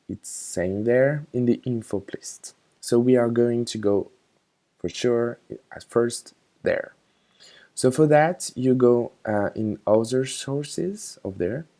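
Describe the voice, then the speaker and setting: A man casually narrating a software tutorial, talking informally and a little hesitantly as he instructs. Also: medium pitch.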